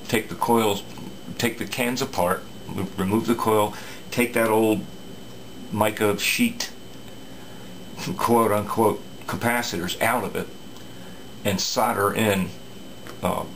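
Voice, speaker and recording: Gravelly low-voiced man explaining radio repair. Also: fairly bright tone, a little hiss or hum, rough recording. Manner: halting, many fillers and restarts. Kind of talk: casual workbench repair talk.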